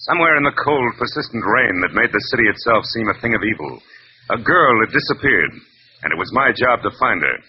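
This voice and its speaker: An actor playing a hardboiled, world-weary private detective in old American radio noir style, with a wry, clipped delivery. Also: male voice, medium pitch, animated, fairly quick, very noisy, lightly acted. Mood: brooding. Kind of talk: 1940s-50s American radio noir acting, narration. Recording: dull vintage radio recording, music behind.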